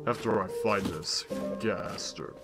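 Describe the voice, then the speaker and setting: A man's voice: low voice, slow, loud music behind the voice. A man voicing a smug, unhinged glitchy skeleton destroyer in a comic dub, with a drawling, mocking, theatrical delivery. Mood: sneering, smug.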